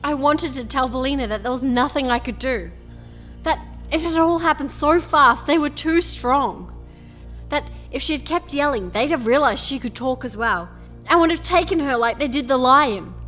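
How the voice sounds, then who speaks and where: A woman's voice: high voice, expressive, normal speed, fairly bright tone, faint music behind. A woman playing an earnest young fairy princess who narrates and acts in a fantasy audio drama. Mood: regretful. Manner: earnest, reflective storytelling. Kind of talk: first-person audio drama narration and acting.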